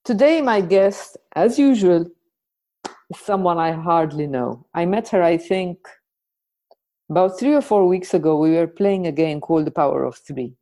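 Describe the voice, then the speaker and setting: A woman hosting a podcast, introducing and questioning in a conversational, reflective way with deliberate pauses. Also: lowish voice, lively intonation, mellow. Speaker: podcast host.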